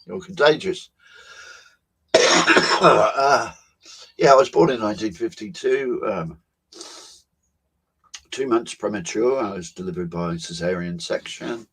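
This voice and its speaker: Slow, low husky older man. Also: poor audio. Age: 70s. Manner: unhurried, musing, with soft laughs and pauses. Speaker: co-host. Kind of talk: reflective personal storytelling.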